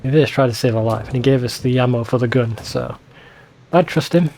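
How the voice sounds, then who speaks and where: A man's voice: mid-range voice, a little fast, gravelly, a little mellow, slight background noise. A man giving informal, sweary gaming commentary as a let's play host. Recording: faint game music behind voice. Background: game soundtrack.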